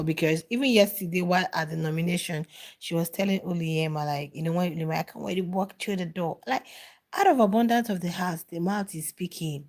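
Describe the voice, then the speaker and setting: Chatty woman with a low voice commenting on a reality show. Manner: chatty, answers viewer comments, repeats phrases for emphasis. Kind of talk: casual live-stream commentary.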